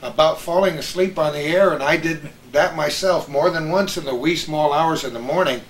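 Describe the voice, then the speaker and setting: Older American male host, high voice, anecdotal and chatty, slightly noisy recording. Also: moderate pace. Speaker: host, veteran radio broadcaster. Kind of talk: reminiscing, anecdotal chat with old colleagues. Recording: roomy echo with some background noise.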